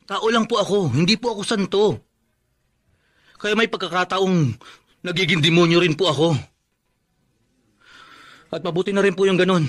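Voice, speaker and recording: A man's voice: high voice, moderate pace, long pauses, a bit bright. A man acting a wronged but proud younger brother in a Filipino radio drama, earnest and emphatic. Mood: hurt, earnest. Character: wronged, proud younger half-brother, respectful to his mother.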